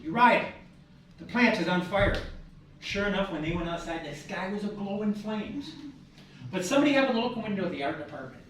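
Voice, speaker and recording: Fast-talking folksy man guiding a tour. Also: a little high, a bit bright. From Michigan, USA. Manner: folksy, joking storyteller. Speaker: tour guide and village director. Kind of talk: guided heritage tour talk. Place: indoor historic building on a tour. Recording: roomy echo, far from the mic, noise behind.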